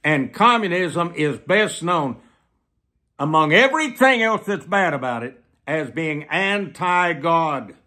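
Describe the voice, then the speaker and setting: An American man in his seventies preaching to camera in an emphatic, deliberate way, repeating phrases for weight. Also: fairly high pitch, expressive, sharp tone. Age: 70s. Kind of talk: sermon delivered to camera. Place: small plain room indoors.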